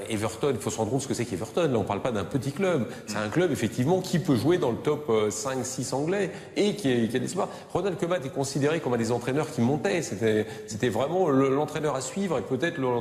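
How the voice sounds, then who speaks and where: Emphatic fast-talking man, reverberant room. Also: mid-range voice, expressive, darkish tone. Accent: French. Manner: emphatic, repeats phrases for effect. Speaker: football pundit. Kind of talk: informal sports debate.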